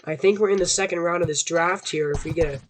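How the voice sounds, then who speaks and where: Young male gamer, hoarse and high-voiced, rambling and self-doubting; light background noise. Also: steady tone, quick pace. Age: young adult. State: hoarse from a sore throat. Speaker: gaming YouTuber. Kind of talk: casual rambling commentary.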